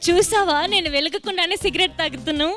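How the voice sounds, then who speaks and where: A woman's voice: very high voice, quick pace. A woman doing exaggerated, playful comic skit acting on a stage show. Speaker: skit performer on dance show. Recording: loud music behind.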